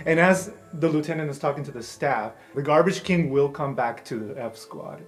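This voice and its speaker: A man's voice: on the high side, normal speed, soft background music. A man hosting and narrating an improvised tabletop roleplaying game as game master. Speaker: game master and podcast host.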